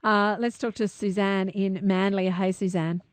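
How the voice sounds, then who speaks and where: A woman's voice: mid-range voice, level tone, measured pace. A woman, casually interviewing as a talk radio host. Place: radio studio.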